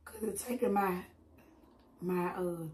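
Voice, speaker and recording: A woman chatting casually while eating on camera, with preachy asides, frequent laughs and a slightly hoarse voice, recorded on a close mic at home. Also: lowish voice, slow pace, darkish tone, reverberant. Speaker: mukbang creator. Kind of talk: casual eating-show chat.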